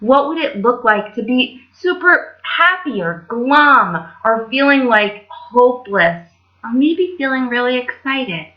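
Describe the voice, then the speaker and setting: A woman's voice: on the high side, expressive, slow pace, hardly any pauses, lo-fi sound. A woman telling stories to children as a library storyteller, in a casual style.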